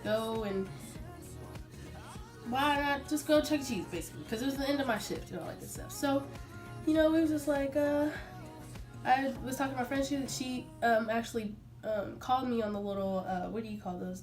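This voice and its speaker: A young adult American woman telling a personal story casually to her viewers in an informal, animated way. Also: a little high, fairly quick, faint music behind. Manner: chatty, informal, animated retelling with acted-out quotes. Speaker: vlogger.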